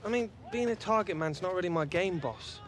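A man's voice: sits high, lively intonation, brisk. A man playing a cheeky, easygoing young British footballer in a video game. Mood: hesitant. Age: young adult. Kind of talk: video game cutscene dialogue.

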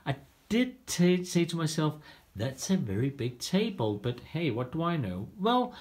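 A man giving a frank, self-deprecating walkthrough of his home build, filming on a handheld phone in a home workshop room. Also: fairly high pitch. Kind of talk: casual walkthrough vlog. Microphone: handheld phone camera.

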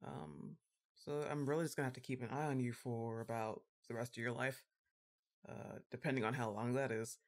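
A woman playing a goth giantess witch girlfriend, exasperated and fondly bossy, with a drawn-out teasing delivery in an intimate ASMR roleplay. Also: a bass voice, fairly even intonation, darkish tone. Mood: resigned. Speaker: audio roleplay performer.

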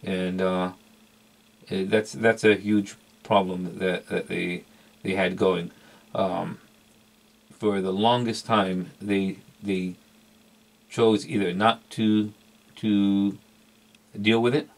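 Low-pitched, gravelly man reviewing gear. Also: steady tone, slow, pauses often. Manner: hesitant, rambling, repeats words for emphasis. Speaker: vintage electronics collector and reviewer. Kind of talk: casual unscripted product review.

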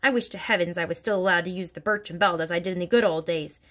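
A woman's voice: fairly low pitch, fast talker, fairly bright tone, close to an everyday voice. A woman barking as a bullying giant headmistress. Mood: bellowing scorn. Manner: barking, snorting, contemptuous. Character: bullying hulking female headmistress, bellowing.

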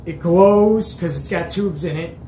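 A man's voice: sits high, a little slow, dark tone. Middle-aged male hobby host, chatty emphatic storyteller, recorded in an echoey small room with some background noise. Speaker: host of a CB radio show. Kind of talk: casual storytelling to camera. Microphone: camera or phone at arm's length. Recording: roomy echo, background noise.

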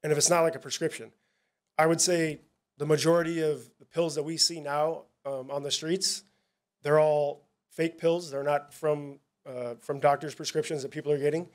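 A man, a police narcotics officer, giving a casual, halting presentation at a town hall, with many fillers and restarts. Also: fairly high pitch, flat delivery, darkish tone.

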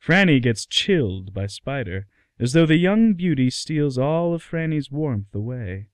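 A man's voice: mid-range voice, big pitch swings, measured pace, no room sound, studio quality. A man narrating a scripted radio play.

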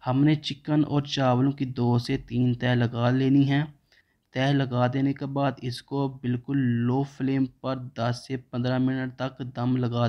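A man's voice: mid-range voice, dull audio. A man, narrating a home cooking recipe step by step as the channel host. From Pakistan. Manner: steady step-by-step instructional tone.

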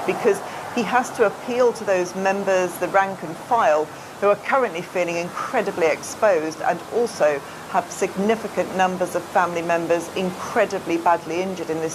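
A middle-aged woman reporting live as a TV news correspondent, with clear ambient noise behind her. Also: on the low side. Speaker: international news editor. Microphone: lapel.

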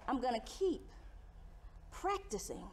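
A woman's voice: sits high, very animated, a little slow. A woman playing a prim, indignant, principled young hotel worker in a stage comedy, stretching her key words emphatically. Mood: prim, defiant. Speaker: stage actor. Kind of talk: broad comedic stage acting. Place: indoor theater stage. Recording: echoey noisy theater stage.

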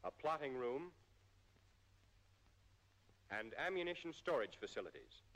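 An American man reading a scripted 1940s military training film narration in a formal, measured way with precise enunciation. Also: mid-range voice, very animated, fairly bright tone. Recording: 1940s film soundtrack, long reverb.

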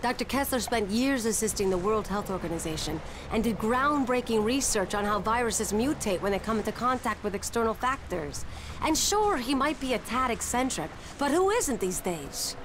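An earnest woman survivor in a game cutscene, played by an actress, with a fairly high voice. She is articulate and persuasive, and clear outdoor noise sits behind her. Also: expressive, normal speed, natural voice. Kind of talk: video game voice acting.

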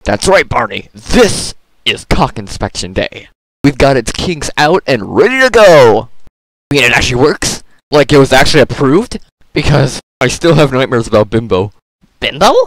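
A man voicing a crude, excitable character in a comedic video game parody, often exclaiming. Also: medium pitch, moderate pace, no echo, poor audio. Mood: crude, jokey.

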